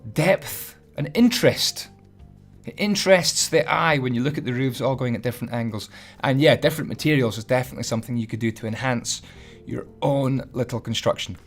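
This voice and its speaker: A hoarse man, chatty and self-deprecating as he gives a casual building tutorial voice-over. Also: mid-range voice. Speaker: Minecraft building tutorial host.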